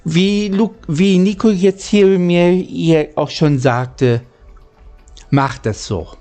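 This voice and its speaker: Guy reading out loud kinda slow, soft dark voice, trips on words a bit. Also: high voice, soft background music. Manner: halting reading with occasional misreadings. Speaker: audiobook reader and channel host. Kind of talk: storybook narration.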